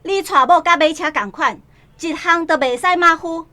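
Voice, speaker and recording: A woman playing a bossy, nosy older sister in a radio drama, speaking in a loud, emphatic way. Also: very high-pitched, moderate pace. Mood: indignant, insistent. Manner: loud, emphatic questioning and instructing. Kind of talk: Taiwanese radio drama acting.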